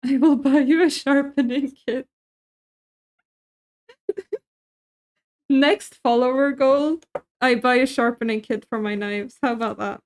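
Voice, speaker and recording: A young woman with a high, light voice chats with her viewers as she cooks at home. She, laughs easily, and answers chat messages as they come in. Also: slow, long pauses. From Sweden. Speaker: streamer. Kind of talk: casual chat while cooking on stream.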